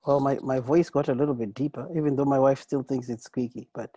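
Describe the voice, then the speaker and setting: A man with a mellow, husky voice co-hosts a laid-back podcast, talking in halting, rambling stretches full of restarts and "you know what I'm saying." The sound is dry and close, with no room around it. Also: medium pitch, steady tone, no room sound. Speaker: co-host. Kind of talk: casual podcast chat.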